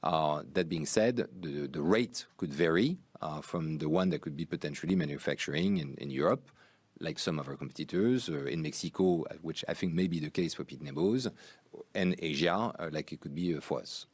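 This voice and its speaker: A man speaking as a chief executive on an earnings call, answering in a measured, carefully qualified way. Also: very deep voice, animated, breathy edge, dry recording. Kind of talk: earnings call Q&A, unscripted explanation.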